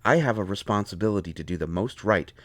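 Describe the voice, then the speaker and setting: A man playing an indignant, hurt healer mage in a fantasy roleplay audio, expressive, sarcastic and exasperated. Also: fairly low pitch, fast talker, no room sound. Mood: sarcastic, frustrated. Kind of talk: dramatic roleplay audio acting.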